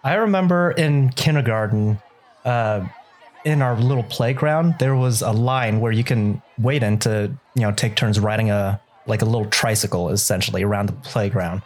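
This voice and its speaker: A young man from Southern California, born to a Vietnamese-speaking home, talking as a cinematographer guest in a relaxed, reflective interview. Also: on the low side, moderate pace. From Southern California, USA. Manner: relaxed, reflective, uses many fillers. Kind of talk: casual interview conversation.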